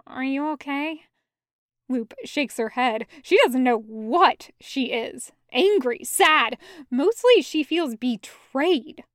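A woman reading a story aloud as scripted, expressive audiobook narration. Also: high voice, slow pace, no room sound, clean studio sound. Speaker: fan fiction audiobook reader.

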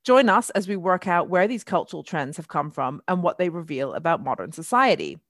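A woman's voice: on the low side, very animated, brisk, no room sound, studio quality. A middle-aged British woman, chatty and articulate, hosting a casual discussion about culture. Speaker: podcast host, columnist and historian.

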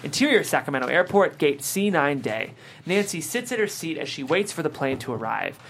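A narrator reads screenplay stage directions in a medium-pitched voice. The delivery is scripted narration, moving through scene headings and action lines. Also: very animated, a little fast, fairly bright tone, a little hiss or hum.

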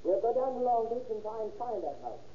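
On a dull, noisy old radio recording, a menacing gangster boss. He gives blunt, clipped orders in a high voice and bullies whoever he addresses. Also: animated, quick pace, mellow. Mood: impatient, threatening. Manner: blunt, bullying, clipped commands. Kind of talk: 1940s radio crime drama acting.

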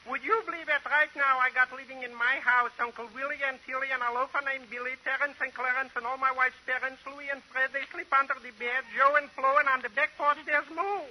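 A man's voice: very high voice, steady tone, brisk, hardly any pauses, bright, forceful tone, exaggerated voice, low-quality recording. A man playing a harried family man in 1940s radio comedy, with sing-song rhyming patter. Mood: pleading, harried. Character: harried family man rattling off his relatives in rhyme. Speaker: supporting comic actor. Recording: hissy old radio recording.

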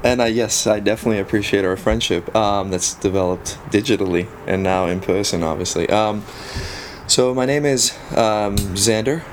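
A man in his thirties with a South African accent, a native Afrikaans speaker, talking casually as an interview guest with relaxed pauses and frequent ums. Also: fairly low pitch, slight background noise. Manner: relaxed, frequent ums, self-deprecating humor. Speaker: guest, photographer.

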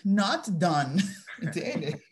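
A woman from Curaçao with a Dutch accent, a teacher being interviewed, speaking haltingly with many fillers and restarts. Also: on the low side, very animated. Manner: hesitant, searching for words with many fillers and restarts. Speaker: English teacher, interview guest. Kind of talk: casual interview answers.

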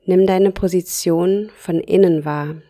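A woman leads a guided yoga nidra meditation in a soft, calm voice. She speaks very slowly, leaving long pauses between short phrases, in a fairly low register.

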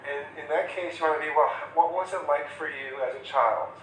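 A man's voice: fairly high pitch, brisk, echoey room, far from the mic, noisy background. A man teaching a class on counseling, talking casually and acting out short example questions. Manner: conversational, acts out client questions. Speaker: instructor. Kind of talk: classroom lecture.

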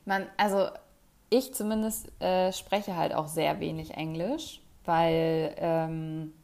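A woman chatting casually with a friend, talkative and quick to laugh, with lots of fillers. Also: low voice, steady tone, darkish tone. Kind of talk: casual chat.

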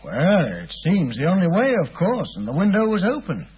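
An actor playing a brisk, matter-of-fact police inspector in an old radio drama. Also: male voice, high-pitched, wildly swooping pitch, breathy edge, full character voice. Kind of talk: 1940s radio acting. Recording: tinny, hissy old radio broadcast.

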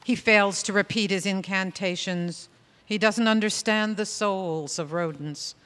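An actress playing a suddenly aged sorceress, giving a grave, deliberate dramatic monologue in stage comedy theatre. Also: female voice, mid-range voice, fairly bright tone, lightly acted. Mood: disdainful lament. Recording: live theatre hall with long reverb. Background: music.

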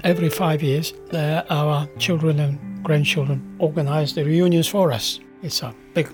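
A man's voice: a little high, steady tone, normal speed, music in the background. A man in his eighties from Poland with a Polish accent, speaking reflectively and a little wryly, with hesitant restarts. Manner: hesitant with many restarts, wry. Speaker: interviewee. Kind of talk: reflective personal talk.

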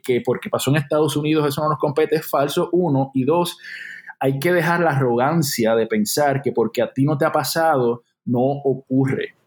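A Puerto Rican man in his forties talks in an earnest, reflective tone as an interview guest. His voice sits a little high for a man, and he often restarts his thoughts as he speaks. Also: darkish tone. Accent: Puerto Rican Spanish. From Puerto Rico. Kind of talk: conversational interview.

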